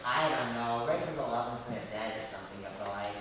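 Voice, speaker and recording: A teenage boy playing a petulant, pompous young gentleman poet in a stage radio play. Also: mid-range voice, a little fast, close to an everyday voice. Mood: subdued. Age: young teen student. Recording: echoey hall from far off, noisy.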